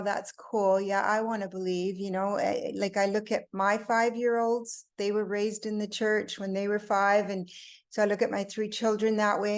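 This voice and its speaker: Older woman sharing a reflective personal testimony. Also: medium pitch. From Belgium and Italy. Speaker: group member giving a personal testimony. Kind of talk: personal faith testimony, unscripted storytelling.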